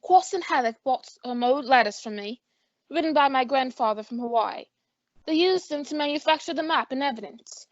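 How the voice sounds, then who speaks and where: A woman's voice: sits high, expressive, moderate pace. A girl playing a defensive young man caught up in a swindle, in a student radio drama, with an earnest manner. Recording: dull lo-fi recording.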